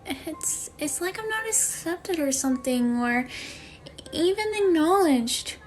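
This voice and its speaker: A woman's voice: sits high, unhurried, darkish tone, soft background music. A woman playing an anxious, lonely schoolgirl in a dramatic monologue, speaking in a hesitant, plaintive way. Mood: uneasy, upset. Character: anxious, lonely schoolgirl confiding in her mother. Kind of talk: dramatic monologue for a voice acting demo reel.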